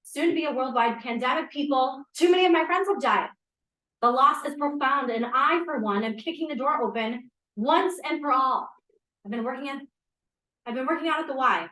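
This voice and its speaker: Casting: actress as outspoken 1980s female activist, impassioned, bright forceful voice, slightly high, roomy table-read sound. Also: normal speed. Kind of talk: staged table read of a play.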